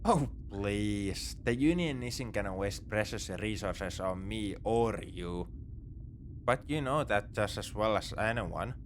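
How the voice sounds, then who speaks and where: A man's voice: fairly low pitch, lively intonation, slow, a little hiss or hum. A man playing a morally grey space pirate captor in a sci-fi ASMR roleplay, talking in a casual, wry, coaxing way. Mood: wry, defensive. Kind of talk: second-person sci-fi ASMR roleplay acting.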